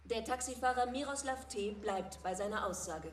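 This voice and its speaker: An actress playing a grave, sensational news announcer in a radio drama, delivering a measured, ominous bulletin. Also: female voice, fairly high pitch, noisy background, lightly acted. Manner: measured, ominous rhetorical questions.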